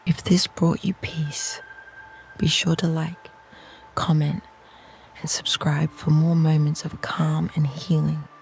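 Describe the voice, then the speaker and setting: This is a guided meditation narrator with a creaky, fry-laden voice. The delivery is slow, soft and soothing, close to a whisper, with little melodic movement. A faint ambient noise sits behind the speech. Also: medium pitch, little pitch movement.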